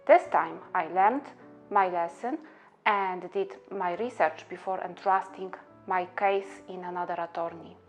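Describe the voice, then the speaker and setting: A woman from Poland with a Polish accent, an immigration attorney speaking in an earnest, measured, scripted way about her own experience. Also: lowish voice, soft background music. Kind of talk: scripted promotional monologue.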